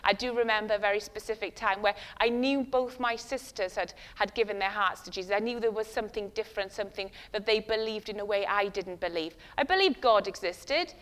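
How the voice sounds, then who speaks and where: A woman's voice: mid-range voice, a little fast, hardly any pauses, fairly bright tone. A middle-aged woman from West Wales with a Welsh accent, a first-language Welsh speaker, giving a chatty, self-deprecating sermon with many fillers and restarts. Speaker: guest speaker.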